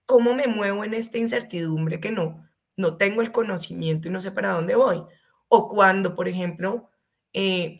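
Female consultant interviewee, Colombian accent, lowish pitch, emphatic conversational delivery. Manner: emphatic, illustrates with rhetorical questions. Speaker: guest expert, HR consultant.

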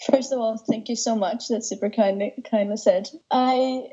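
A young woman from Finland chats as an interview guest, eager and warm in tone, often circling back to restart her sentences. Her voice is mellow and soft-edged, and the recording sounds slightly dull and muffled. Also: a little high, fairly even intonation, moderate pace. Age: 20s. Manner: enthusiastic, with frequent restarts and light laughs. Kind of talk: casual interview chat.

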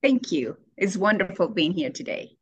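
Woman in her sixties, low, dry. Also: sing-song, normal speed, slightly rough, no echo, lo-fi sound. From Colombia. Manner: warm, searching for words, laughs often. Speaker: guest. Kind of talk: interview answers.

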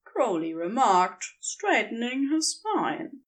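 A woman's voice: a little high, big pitch swings, slow pace. A woman reading scripted fiction as the narrator, telling a story.

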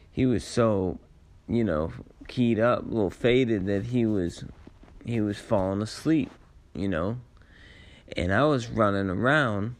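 A man with a low, raspy voice full of gravelly creak, sounding tired at the end of a long day. He rambles through personal memories at a very unhurried pace. His phrasing is halting and reflective, with laid-back slang. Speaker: storyteller sharing personal memories. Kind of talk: casual rambling personal storytelling.

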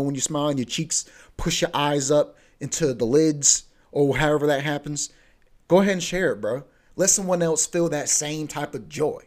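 A man's voice: a little high, a little mellow. A man in his twenties hosting his own podcast, talking casually in a rambling, slangy way with lots of fillers. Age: 20s. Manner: laid-back, slangy, rambling with many fillers. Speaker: podcast host. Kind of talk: casual solo podcast monologue.

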